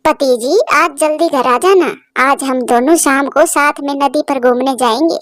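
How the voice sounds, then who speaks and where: A woman voicing a bossy, quick-tempered young bird wife in a cartoon, with a nagging, insistent manner. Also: high voice, no echo, clean studio sound.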